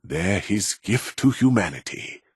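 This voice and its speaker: An actor playing a proud, middle-aged robotics scientist in a video game, speaking in a measured, formal and condescending way with a husky voice. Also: male voice, deep voice, very animated, dry recording, studio quality, heavily acted. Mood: proud, persuasive. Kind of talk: scripted video game dialogue.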